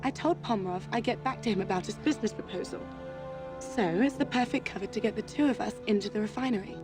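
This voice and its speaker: An actress playing a confident, flirtatious, pampered socialite in a spy game, teasing and assertive. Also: female voice, mid-range voice, fast talker, music in the background, close to an everyday voice. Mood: playful, assertive. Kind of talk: cinematic spy game acting.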